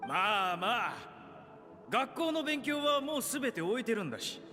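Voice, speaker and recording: A man voicing an easygoing, indulgent father in a game who calms his worried wife. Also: very high-pitched, fast talker, full character voice. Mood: soothing. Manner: calm, soothing, gently laughing. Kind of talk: Japanese game voice acting. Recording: long reverb with game music.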